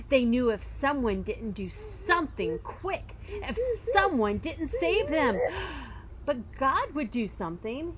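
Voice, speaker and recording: A woman reading a children's story aloud expressively, with dramatic emphasis, pauses and occasional hushed phrasing. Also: mid-range voice, slight background noise. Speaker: storybook reader.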